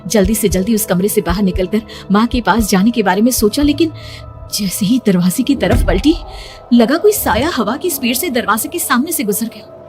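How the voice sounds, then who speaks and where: A young woman narrating a horror cartoon story in the first person, hushed and suspenseful. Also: fairly high pitch, normal speed, over music. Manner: hushed, suspenseful, rising to frightened.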